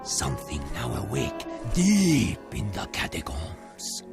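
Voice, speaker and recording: A grudging talking animal from a cartoonish video game. The voice is gravelly and leaps around in pitch, delivering fussy, portentous pronouncements over music. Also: male voice, wildly swooping pitch, takes it slowly, heavily acted. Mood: ominous. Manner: theatrical, portentous.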